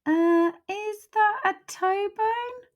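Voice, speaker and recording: A little boy skeleton from a picture book, played by a woman. He is high-voiced, eager and mischievous, with a childlike playfulness. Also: drawn-out words, highs cut off, exaggerated voice. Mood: playful. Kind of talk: storybook read-aloud.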